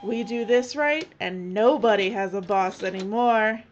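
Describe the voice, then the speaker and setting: An actress playing a shrewd, bossy documentary crew leader with a brisk, businesslike manner in an audio drama. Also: female voice, mid-range voice, lightly acted. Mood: shrewd, commanding. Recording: dull, noisy audio.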